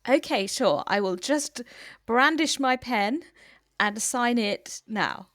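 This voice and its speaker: A woman's voice: a little high, expressive, slow, fairly bright tone, no room sound, studio quality. A woman playing a polite, flustered young woman at a hospital, hesitant and stammering, in improvised comedy audio drama.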